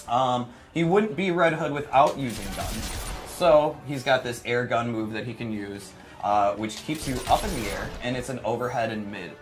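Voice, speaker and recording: A man's voice: mid-range voice, moderate pace, music in the background. A man giving chatty, informal live commentary as he demonstrates a fighting game character, with a playful self-deprecating streak. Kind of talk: live gameplay commentary, casual explaining.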